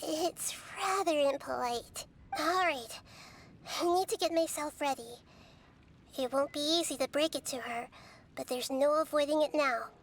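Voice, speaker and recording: A woman voicing a gentle, wise, childlike girl deity in a video game, speaking softly in a measured, thoughtful way. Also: sits high. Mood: gentle, serious. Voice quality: soft, childlike. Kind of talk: animated video game voice acting.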